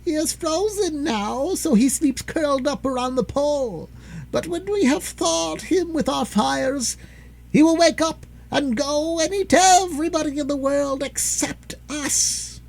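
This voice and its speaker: A man voicing a crowd of small, fat, spiteful sealskin dwarves in a storybook reading, with a shrill voice and a slow, careless menace. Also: vocal fry, darkish tone, heavily acted, low-quality recording.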